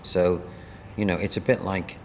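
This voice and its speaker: Very deep-voiced man reminiscing. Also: level tone, creaky, big-room sound, slight background noise. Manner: halting, conversational. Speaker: playwright and producer. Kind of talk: interview reminiscence.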